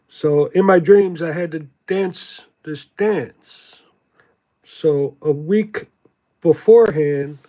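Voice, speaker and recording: A man giving a contemplative spiritual monologue in a halting, deliberate way, repeating words for emphasis. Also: sits high, warm tone. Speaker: spiritual teacher reading from own writings.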